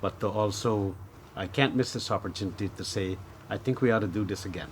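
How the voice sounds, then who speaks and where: A man's voice: on the low side, fairly quick, slight background noise. A middle-aged man hosting a studio talk show, leading his guest and checking agreement with "right?" and "correct?". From Guyana. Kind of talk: studio interview host. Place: TV studio set, seated at small table.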